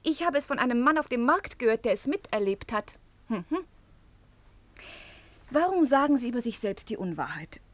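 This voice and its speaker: An actress playing a poised, sensible young woman in a German radio play, measured and gently reproachful. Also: fairly high pitch, very animated, dry recording.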